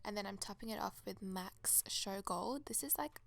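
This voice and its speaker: A woman's voice: mid-range voice, moderate pace, creaky, fairly bright tone. Chatty young woman with a crackly cold voice. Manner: chatty, enthusiastic product recommendations. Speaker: makeup artist. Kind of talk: voice-over makeup tutorial.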